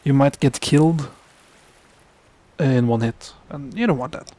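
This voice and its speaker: A man's voice: medium pitch, warm tone. A young man from Norway, speaking English as a second language, giving casual gameplay commentary and often stopping to correct himself. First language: Norwegian. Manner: halting, self-correcting, mutters asides under his breath. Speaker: gaming commentator.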